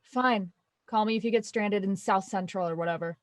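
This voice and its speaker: A woman's voice: mid-range voice, normal speed, no echo, studio quality, lightly acted. An actress playing an anxious young woman student, a hesitant but sarcastic younger sister. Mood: uneasy. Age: young adult.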